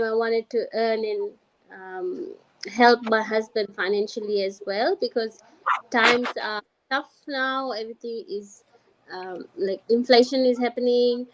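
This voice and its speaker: Slow-talking woman, higher voice. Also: low-quality recording. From Fiji. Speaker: show guest. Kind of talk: personal testimonial on live online show.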